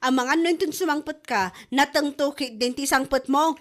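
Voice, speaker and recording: A woman's voice: high-pitched, moderate pace, lightly acted. An actress playing a bossy, teasing woman in a comedy drama, speaking with an Ilocano accent. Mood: nagging.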